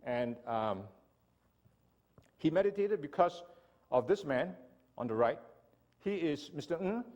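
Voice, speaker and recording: A man from Singapore giving an animated church seminar talk and often asking his listeners "right?". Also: fairly high pitch, measured pace, dull audio. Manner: animated lecturing, asks audience "right?". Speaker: speaker.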